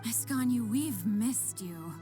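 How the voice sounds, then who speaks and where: An effusive, overworked young female aide in a video game. Her voice is high yet warm-toned, with dramatic, admiring delivery. Faint music plays behind her. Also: animated, slow pace. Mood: pleading.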